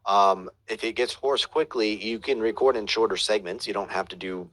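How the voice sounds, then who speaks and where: A man's voice: lowish voice, moderate pace, fairly bright tone, rough recording. A man teaching audiobook production, reading out viewer questions and answering them in a casual, hesitant way with many fillers and restarts.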